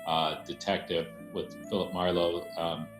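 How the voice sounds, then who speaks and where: A man's voice: low-pitched, little pitch movement, measured pace. A man answering interview questions as a theater director, explaining things conversationally. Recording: roomy echo, faint music.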